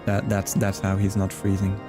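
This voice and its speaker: A man's voice: on the low side, monotone, normal speed. Friendly male streamer chatting casually with viewers, game music underneath. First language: Flemish. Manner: chatty and friendly, greets chat by name, laughs often. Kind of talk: casual live-stream chat.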